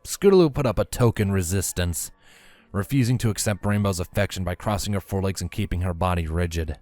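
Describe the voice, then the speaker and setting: A man's voice: low voice, a little fast, a little mellow, dry recording. A man reading scripted story narration as the narrator, close on the microphone. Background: background music.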